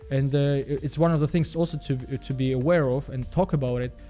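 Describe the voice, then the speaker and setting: Halting Belarusian man. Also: mid-range voice, fairly even intonation, dark tone, faint music behind. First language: Russian. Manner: halting, repeats words, frequent fillers. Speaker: vlogger. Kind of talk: casual self-help vlog monologue.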